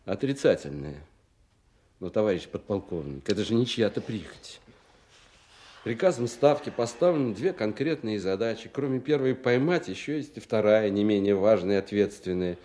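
An actor playing a brusque, authoritative Soviet colonel in a radio drama, speaking in a firm, clipped, insistent way. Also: male voice, fairly low pitch, animated, moderate pace, mellow, lightly acted. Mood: firm, explaining.

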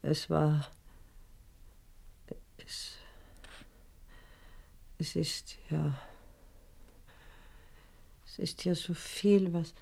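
Halting, creaky, grief-stricken village wife. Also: female voice, deep voice, steady tone, warm tone, exaggerated voice. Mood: grief-stricken, pleading. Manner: halting, barely getting words out. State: trembling with grief. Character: grieving village mayor's wife, timid and trembling.

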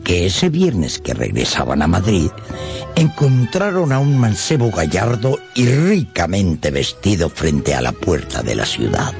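A man narrating a radio drama in an expressive storytelling style. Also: sits low, measured pace, creaky. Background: music under the narration.

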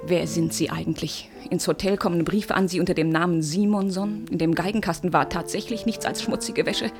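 A woman's voice: lowish voice, steady tone, fairly quick, over music, natural voice. An actress in a German radio play playing a proud, distrustful woman who speaks in a cool, reproachful, pointed manner. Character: proud, scornful woman, hurt and distrustful suitor's beloved.

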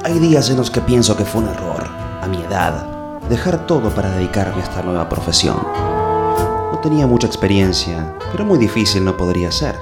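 An actor playing a naive, self-important amateur detective in a comic radio play, deadpan and theatrical, with an Argentine accent. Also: male voice, fairly low pitch, moderate pace, loud music behind the voice. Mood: wistful, self-important.

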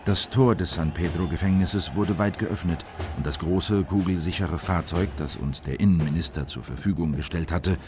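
A man acting as an official who recounts a secret operation in measured, factual radio play narration. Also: deep voice, noisy background, close to an everyday voice. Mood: measured, grave. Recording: music behind the voice.

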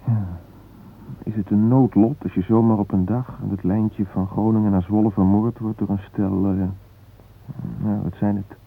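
An actor playing a frightened hostage father in a radio play, musing in a halting, wry, vulnerable way. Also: lowish voice, fairly even intonation, creaky. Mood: bitter, musing. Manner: reflective, halting, wryly self-mocking. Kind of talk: radio drama interior monologue and dialogue. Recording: muffled phone-like band.

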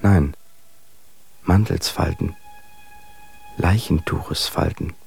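An actor playing an anguished romantic poet, reciting feverish verse with brooding solemnity and a gravelly voice in a radio drama. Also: male voice, low-pitched, a little mellow, heavily acted. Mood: anguished, fervent.

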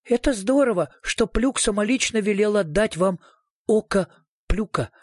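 A man voicing a skinny little excitable tribesman with an ominous manner in a storybook reading. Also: high voice, normal speed, no room sound, studio quality, voice pushed up. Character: thin little tribesman, excitable prophecy-bearer.

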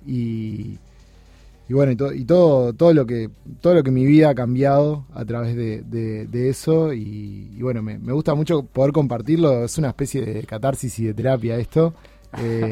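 Un hombre uruguayo de treinta y tantos, invitado en una radio, habla con muchas pausas, titubeos y repeticiones. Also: male voice, medium pitch, creaky, mellow, no room sound. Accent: Uruguayan Spanish. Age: 30s. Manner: halting, many restarts and fillers. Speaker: guest. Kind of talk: casual interview chat. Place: radio studio.

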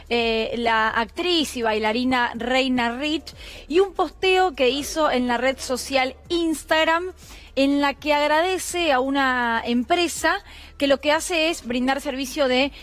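A woman's voice: high-pitched, unhurried, fairly bright tone. A woman with an Argentine accent presenting a news item conversationally to a radio co-host. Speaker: radio columnist.

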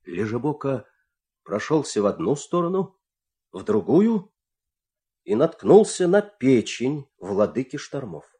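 A man's voice: medium pitch, sing-song, unhurried, dark tone. A man narrating a fairy tale for an audio play in a theatrical, suspenseful storytelling manner.

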